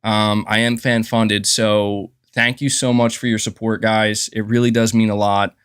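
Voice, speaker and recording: A man's voice: fairly low pitch, level tone, a little slow, a bit bright, smooth. Chatty male streamer. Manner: chatty, chuckles often, says "dude" and "man". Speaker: livestream host. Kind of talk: casual livestream commentary.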